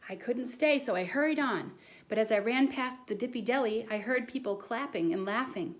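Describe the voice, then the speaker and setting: A woman voicing an earnest, excitable schoolboy who tells a tall storybook tale with dramatic insistence. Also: a little high, normal speed, lightly acted. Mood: excited storytelling.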